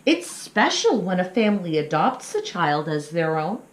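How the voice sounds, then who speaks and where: A woman voicing a kindly, wise father wolf in a storybook, measured and reassuring. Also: on the low side, sing-song. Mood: thoughtful. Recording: roomy, echoing sound.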